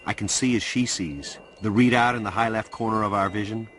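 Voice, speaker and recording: An actor playing a watchful, brooding hacker who narrates a radio drama in a hushed, terse, intense first person. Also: male voice, fairly low pitch, fairly even intonation, a little fast, a little hiss or hum, close to an everyday voice. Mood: intent, awed.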